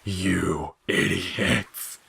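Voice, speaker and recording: A man's voice: sits low, level tone, very slow, vocal fry, a bit bright, breathy edge, heavily acted. A man voicing a cruel, mocking, childish talking flower in an audio fiction, jeering and wheedling by turns. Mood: gleefully cruel. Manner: jeering, cackling, swinging from sneers to wheedling. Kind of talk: dramatic audiobook character acting.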